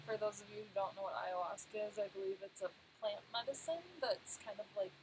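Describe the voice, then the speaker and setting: A woman with a quiet voice co-hosting a casual travel live stream, soft-spoken and sprinkling "like" through her answers. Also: medium pitch, fairly even intonation, brisk, a little hiss or hum, low-quality recording. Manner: soft-spoken, uses "like" a lot. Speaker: travel vlogger co-host.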